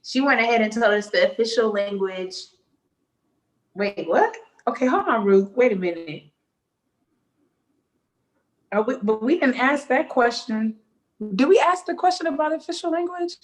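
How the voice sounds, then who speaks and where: A young woman co-hosting a casual live stream, playful and animated, laughing often as she reads viewer comments. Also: a little high, normal speed, long pauses, low-quality recording. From United States. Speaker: co-host.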